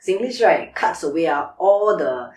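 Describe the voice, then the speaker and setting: A woman with a Singaporean accent in casual chat, opinionated and animated. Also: lowish voice, unhurried, reverberant, distant mic, slight background noise.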